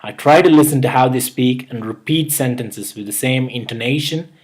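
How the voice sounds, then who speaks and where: Young guy w/ a mild indian accent reading out tips, middle-pitched, earnest and steady. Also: normal speed. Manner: earnest, advice-giving, list-by-list delivery. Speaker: YouTube host. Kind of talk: scripted tutorial narration.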